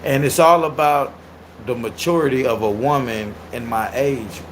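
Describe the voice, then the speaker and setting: A man in his thirties hosting a casual livestream and reacting to viewer comments. He is loud, animated and crude, and laughs often. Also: medium pitch, moderate pace, a little hiss or hum, low-quality recording. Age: 30s. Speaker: livestream host.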